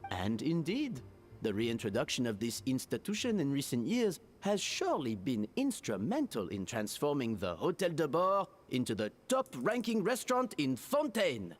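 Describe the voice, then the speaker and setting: Brief: a formal, ornate host of a fine restaurant, very animated delivery. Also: male voice, mid-range voice, normal speed, soft background music. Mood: courtly. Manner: flowery, formal, courteous phrasing. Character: courtly middle-aged male restaurant manager, polite and formal. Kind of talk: game voice acting.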